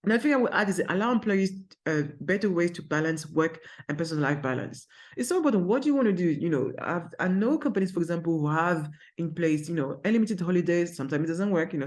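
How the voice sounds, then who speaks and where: Fast-talking speaker with a strong French accent. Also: medium pitch. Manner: energetic and emphatic, with frequent restarts. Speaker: brand agency founder. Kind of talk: advice talk to camera. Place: office.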